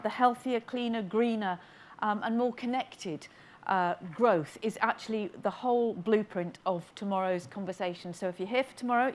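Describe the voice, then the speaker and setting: A woman's voice: on the high side, slight background noise. A woman hosting a summit session, introducing speakers in a chatty way with fillers. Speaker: event host.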